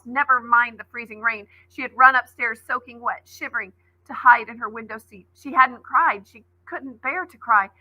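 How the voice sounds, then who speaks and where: Woman, teacher, reading a novel aloud, expressive, brief asides, breathy. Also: fairly high pitch, no room sound, dull audio.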